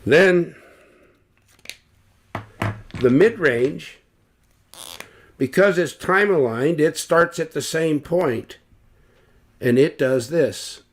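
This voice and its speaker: Older man, unhurried, technical lesson, deliberate with fillers, home webcam. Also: on the high side. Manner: slow, deliberate, with fillers and restarts. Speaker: loudspeaker designer explaining measurements. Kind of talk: technical explanation with drawings. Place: home living room, indoors.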